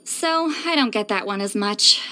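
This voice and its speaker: A woman's voice: medium pitch, animated, moderate pace, bright, forceful tone, clear, resonant tone, low-quality recording. An actress voicing a prickly, easily flustered, reclusive shaman in a video game, with a theatrical, defensive manner. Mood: indignant. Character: prickly, flustered, reclusive female shaman who loves novels and wine. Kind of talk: English video game character voice lines.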